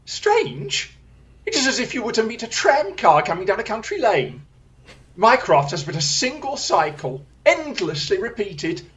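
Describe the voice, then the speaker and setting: A woman's voice: a little high, big pitch swings, unhurried, lo-fi sound, slight background noise. A woman playing a restless, haughty male detective in an audio drama, with a theatrical, disdainful, declamatory way of speaking. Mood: amused, incisive. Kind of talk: radio-style audio drama acting.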